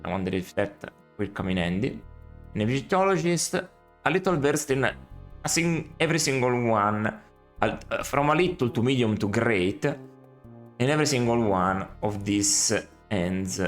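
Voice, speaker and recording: A man's voice: medium pitch, expressive, a little slow, soft background music. Musing man commenting on a game. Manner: musing, self-correcting, critical asides. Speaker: streamer playing a retro game.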